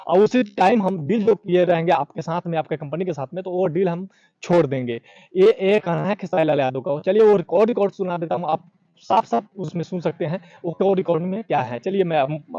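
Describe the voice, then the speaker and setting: Mellow, high-voiced man presenting informal news. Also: dry recording, muffled recording. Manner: halting, repeats himself. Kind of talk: informal unscripted news commentary.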